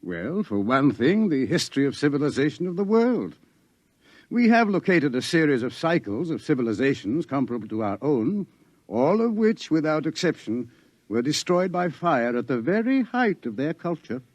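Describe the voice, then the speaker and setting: A man's voice: a little high, big pitch swings, normal speed, no room sound. An actor playing a male professor in a 1950s radio drama, explaining the coming doom with some hesitation. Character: male professor, scientist, wry and grave. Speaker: scientist.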